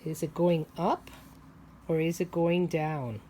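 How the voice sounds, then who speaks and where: A woman's voice: low voice, fairly even intonation, slow, warm tone, slight background noise. A woman preschool teacher reading a picture book aloud to young children, explaining simply, asking questions and drawing out key words.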